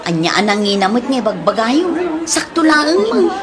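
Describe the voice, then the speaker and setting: A woman's voice: on the high side, very animated, moderate pace, a crowd in the background. A woman playing a stingy, complaining daughter-in-law in an Ilocano comedy drama, with exaggerated exclamations and an Ilocano accent. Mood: defensive.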